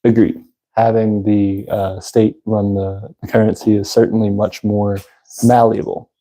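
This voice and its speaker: A man with a congested voice, hosting a casual livestream and talking haltingly with many fillers. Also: fairly low pitch, flat delivery, mellow, poor audio. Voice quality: congested, nasal. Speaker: livestream host. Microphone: phone.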